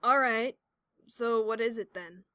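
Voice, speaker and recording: High forceful young female pony adventurer, acted by a woman, sardonic, teasing, impatient, audio drama. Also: unhurried, smooth, no echo, clean studio sound. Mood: brisk, teasing. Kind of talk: audio drama character acting.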